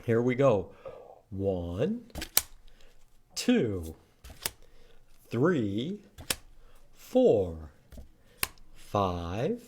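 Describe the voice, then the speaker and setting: Male storytime reader for young children: lively pitch swings, unhurried delivery, participatory and expressive. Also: background noise. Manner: expressive, animated, asks listeners to join in. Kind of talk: read-aloud storytime with singing and prompts to children.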